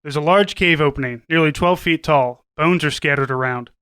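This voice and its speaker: A male podcast narrator reading a comic script. His voice is high, bright and clean, with very little room sound around it. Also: moderate pace, clean tone, no echo.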